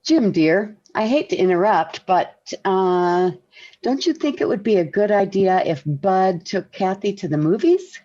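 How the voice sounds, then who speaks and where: A gracious housewife and mother in vintage radio comedy. Her manner is polite and gently hesitant, at a middling pitch, on a dull old recording. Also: lively intonation, measured pace. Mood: gracious, tactful. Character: gracious, tactful suburban mother and hostess. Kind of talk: 1940s radio sitcom acting.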